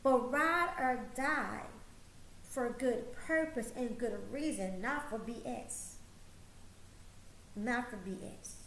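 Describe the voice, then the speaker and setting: A woman's voice: a little high, takes it slowly, a bit bright, a little hiss or hum. Emphatic, preachy woman in her late forties. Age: 40s. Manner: emphatic, preachy, repeats her points for stress. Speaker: host. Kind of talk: motivational talk show monologue. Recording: roomy echo, faint ambience.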